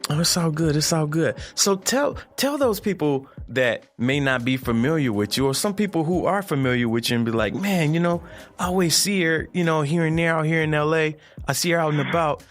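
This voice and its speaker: A man hosting an interview podcast, talking in a laid-back, slangy, lively way. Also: fairly high pitch, a little fast, soft background music. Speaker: podcast host. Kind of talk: casual interview chat.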